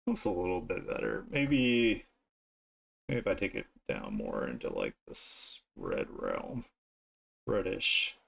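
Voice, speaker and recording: A man streaming his art, thinking aloud in an informal, unhurried way as he works. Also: sits low, huge pitch swings, gravelly, a bit bright. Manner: informal, unhurried musing to self while drawing. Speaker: streamer and artist. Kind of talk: casual art livestream commentary, thinking aloud.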